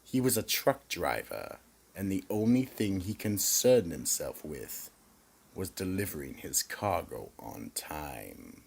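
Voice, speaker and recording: A man with an extremely low, creaky voice narrates a mystery drama for radio. He speaks slowly and dramatically, with gravel in the tone and lively rises and falls. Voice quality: gravelly, raspy.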